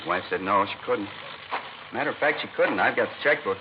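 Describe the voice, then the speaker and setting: An actor playing a chatty, obliging American pool builder in a 1950s radio drama, with an informal, folksy manner. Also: male voice, lowish voice, animated, fairly quick, lightly acted. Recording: dull old radio, loud engine noise.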